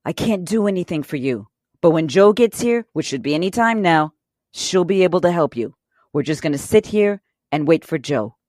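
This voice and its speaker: A woman's voice: lowish voice, animated, normal speed, darkish tone, no echo, clean studio sound, close to an everyday voice. A woman playing a tough but protective career robber in an audio drama, speaking bluntly and with profanity in a steadying way. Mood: soothing.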